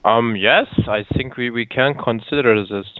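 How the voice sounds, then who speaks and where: A man on a casual business call as the interviewing client, speaking in a measured, hesitant way over thin call audio. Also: mid-range voice, no room sound, phone-quality sound. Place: online call.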